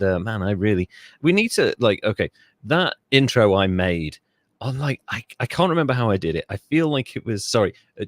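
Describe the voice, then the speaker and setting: Male podcast host, low voice, dry mic, rambling and self-interrupting. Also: dry recording. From England. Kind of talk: casual podcast chat.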